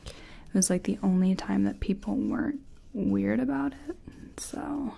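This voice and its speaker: A woman acting out a friendly makeup artist in an ASMR role-play. She whispers in a breathy, mellow voice and takes her time, chatting and reassuring softly as she works. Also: medium pitch, exaggerated voice. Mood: gentle, chatty. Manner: gentle, unhurried, chatty, reassuring. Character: friendly, self-taught makeup competition contestant. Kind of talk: soft-spoken ASMR personal attention role-play.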